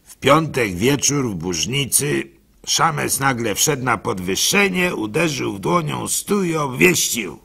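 Raspy, gravelly village fool, swooping delivery. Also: male voice, medium pitch, lively intonation, a little slow, pushed and bright, heavily acted. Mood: rueful, bewildered. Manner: folksy, rueful, theatrical with sighs and interjections. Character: gullible, plaintive village fool telling his misfortunes. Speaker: radio drama actor. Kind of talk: first-person dramatic monologue storytelling.

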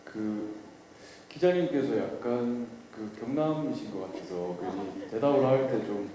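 A mellow-toned man talks at a press event, easygoing and a bit self-deprecating. His voice rings through a large echoey hall, with faint noise behind it. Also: mid-range voice, unhurried. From Daegu, South Korea. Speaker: lead actor at a press event. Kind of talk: answering press questions.

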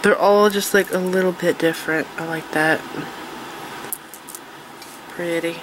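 A woman's voice: lowish voice, steady tone, unhurried, noisy background. A woman with a congested, nasal voice from allergies, chatting casually and eagerly as she shows her craft supplies. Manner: chatty, enthusiastic, with fillers and restarts. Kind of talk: casual show-and-tell chat. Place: indoors at a tabletop, overhead camera on hands.

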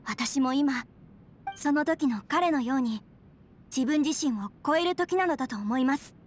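A young lady of a noble house in an anime game. Her voice sits high, and she speaks with earnest, dignified courtesy in formal phrasing. A low noise runs faintly underneath. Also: lively intonation, measured pace. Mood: resolute. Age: young adult. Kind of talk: Japanese anime game voice acting.

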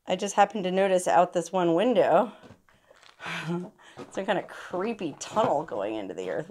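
Excitable woman exploring outdoors. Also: lowish voice, dry recording, studio quality. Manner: excitable, gasping, easily amazed. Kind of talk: casual handheld exploring narration. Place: outdoors in desert and inside an old mine tunnel. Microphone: handheld camera.

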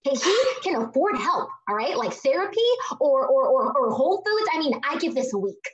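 Exasperated young woman, slangy, repeats words, echoey, dull low-fi call audio, comedy read. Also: sing-song, a little fast. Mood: reassuring, brusque. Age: young adult. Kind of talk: comedic table read acting. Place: living room on a video call. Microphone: laptop microphone.

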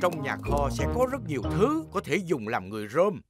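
A man's voice: on the high side, huge pitch swings, fairly quick. A man voicing a jolly old gardening grandfather pig in a children's cartoon dub. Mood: jolly, determined. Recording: music behind the voice.

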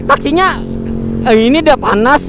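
A man's voice: very high-pitched, wildly swooping pitch, normal speed. A young man riding his motorcycle at night, bantering and cursing with a friend over a helmet mic. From Indonesia. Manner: swearing, laughing banter with a riding buddy. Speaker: motovlogger. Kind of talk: casual ride commentary. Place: riding a motorcycle on city streets at night, outdoors. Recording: loud wind and engine roar. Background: traffic and motorcycle engines.

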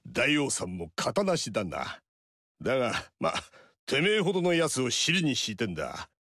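A man voicing a gruff veteran warlord with a rough, teasing, drawling way of talking in video game dialogue. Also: mid-range voice, huge pitch swings, unhurried, pushed and bright, no echo, studio quality, heavily acted. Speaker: warlord character.

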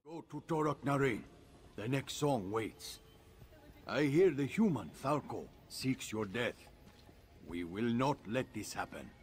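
A man's voice: mid-range voice, expressive, unhurried, close to an everyday voice. Grave alien clan leader played by a man. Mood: grave, resolute. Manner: solemn, formal pronouncements. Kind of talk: video game voice acting.

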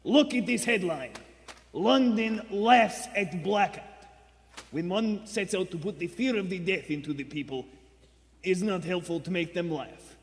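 A man playing a cold, scornful spy boss with deliberate delivery in a radio play. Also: high voice. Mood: scornful, menacing. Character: Vladimir, cold, menacing male spy boss. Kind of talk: vintage radio play acting. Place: theater stage before a live audience. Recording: echoey theater stage.

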